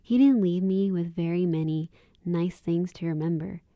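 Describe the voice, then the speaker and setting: A woman's voice: on the low side, moderate pace, mellow, no room sound, close to an everyday voice. A woman playing a kind, earnest and plain-spoken young woman in a radio drama. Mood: wistful. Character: kind, candid young woman with a wistful side.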